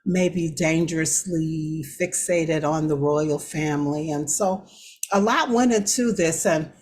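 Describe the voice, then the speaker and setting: A woman's voice: low voice, unhurried, a little mellow, slight background noise. A woman hosting an online radio show, chatting directly to her audience and commenting casually on the news. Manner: conversational, addresses audience directly. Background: music under the voice.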